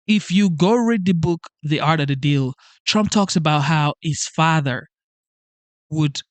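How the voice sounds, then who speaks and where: A man with a somewhat raised voice delivers a motivational talk straight to camera. He urges and repeats himself like a preacher. The sound is close and dry, with no room echo. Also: fairly high pitch. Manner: emphatic, repetitive, preachy pep talk. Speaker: channel host. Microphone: facing a camera.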